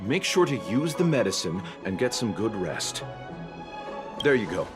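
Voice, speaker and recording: A man voice acting a gentle, unruffled traveling doctor in a video game, with a polite, soothing manner. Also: on the low side, very animated, normal speed. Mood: advising. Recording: loud music behind.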